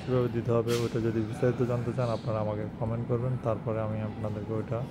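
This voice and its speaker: Loud background noise surrounds a man. He talks viewers through an installation in a plain, unscripted way with little movement in pitch. English technical terms are mixed into his instructions. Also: flat delivery, fast. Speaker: installer presenting a how-to video. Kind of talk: unscripted tutorial narration. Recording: loud ambient and vehicle-like noise.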